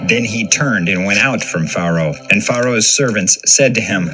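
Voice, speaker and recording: A man with a lowish voice narrates scripture for an audio dramatization. Music plays clearly behind his scripted reading. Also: moderate pace, low-quality recording.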